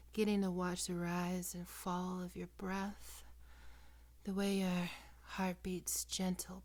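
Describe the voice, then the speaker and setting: A woman playing a possessive, predatory female vampire in an ASMR roleplay, coaxing and cooing in a breathy voice that often drops into whispers. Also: fairly low pitch, a little mellow. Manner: slow, cooing, condescending endearments.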